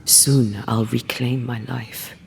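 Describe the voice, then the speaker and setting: An actress playing a solemn ghost woman, a slain ghost-hunter, in a video game cutscene, speaking gravely and often dropping to a hush. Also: extremely low pitch, steady tone, takes it slowly, a little hiss or hum, full character voice. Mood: sorrowful, resolved.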